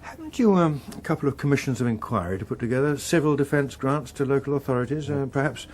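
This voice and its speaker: An actor in a radio drama playing a suave, unflappable veteran politician with an upper-class English accent and an understated manner. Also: male voice, mid-range voice, expressive, fast talker, a little mellow, a little hiss or hum, natural voice. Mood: smoothly persuasive. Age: middle-aged. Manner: smooth, understated.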